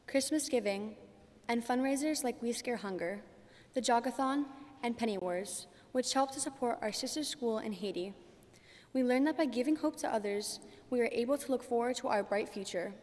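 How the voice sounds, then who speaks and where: A woman's voice: fairly high pitch, normal speed, a little mellow. A teenage girl reading a prepared graduation speech as a student at a podium. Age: teenager, about 14. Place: large church, indoors. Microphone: podium microphone. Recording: long church hall reverb.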